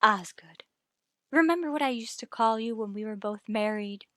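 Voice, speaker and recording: An actress playing a bossy, gushing middle-aged matchmaking wife with theatrical delivery, in old radio sitcom style. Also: female voice, a little high, moderate pace, pushed and bright, dry recording, clean studio sound. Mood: coy. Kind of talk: 1940s-50s radio sitcom acting.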